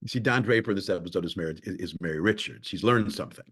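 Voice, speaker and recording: Brief: male professor, lecture delivery, low-ish voice, stumbles and restarts. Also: rapid-fire, a bit bright, breathy edge. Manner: thoughtful, often repeats and restarts words.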